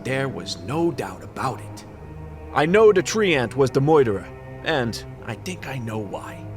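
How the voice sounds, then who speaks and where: A hard-boiled detective played by a man in a fantasy radio drama. He is world-weary and knowing, with brooding, deadpan lines that swing widely in pitch, over faint music. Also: a little slow. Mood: brooding narration. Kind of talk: noir radio play narration and dialogue.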